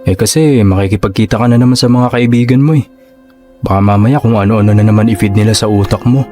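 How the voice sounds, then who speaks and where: A man's voice: fairly low pitch, fast talker, mellow, close to an everyday voice. A man playing an earnest, insecure suitor in a radio drama, speaking hesitantly and pleadingly. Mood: uneasy, insecure. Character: earnest, insecure male suitor longing for his sweetheart. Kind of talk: Tagalog radio drama acting. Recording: music under the voice.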